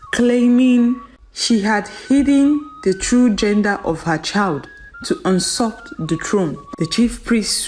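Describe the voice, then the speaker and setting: A woman narrating a folktale in a dramatic, solemn and deliberate storytelling manner, repeating phrases for emphasis. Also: medium pitch, darkish tone, low-quality recording.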